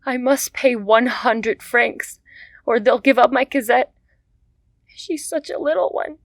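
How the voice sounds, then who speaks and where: A woman's voice: a little high, measured pace, dry recording. An actress playing a desperate young mother in an audio drama, pleading and tearful. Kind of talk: radio drama acting.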